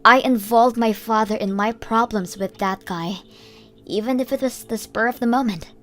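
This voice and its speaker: A woman's voice: on the high side, normal speed. A woman reading scripted first-person story narration for a comic dub. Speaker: narrator and voice actor in a manga dub. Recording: music bed under the voice. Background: background music.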